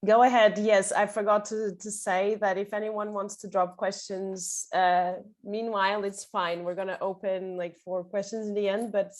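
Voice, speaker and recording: A woman's voice: medium pitch, little pitch movement, moderate pace, few pauses. A woman moderating an online panel discussion over a video call, friendly and often restarting her phrases.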